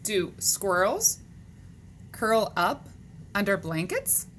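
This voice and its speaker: A woman's voice: medium pitch, huge pitch swings, slow, fairly bright tone. A woman reading a children's book aloud, speaking to young listeners in a question-and-answer manner, on a noisy recording.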